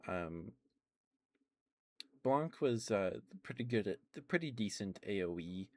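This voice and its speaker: A man chatting quietly about video games for an ASMR stream, hesitant and rambling with many pauses and soft laughs. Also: lowish voice, lively intonation, no room sound, studio quality. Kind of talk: rambling ASMR game chat.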